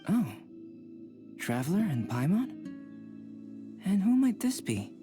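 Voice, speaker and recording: A man voicing a calm, scholarly young alchemist in a video game, measured and polite. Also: high voice, music in the background, heavily acted. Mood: courteous.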